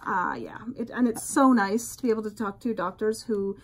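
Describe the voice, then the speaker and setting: A woman's voice: medium pitch, moderate pace. A middle-aged woman co-hosting a casual podcast, rambling with many fillers and trailing off. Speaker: podcast co-host. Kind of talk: casual chat.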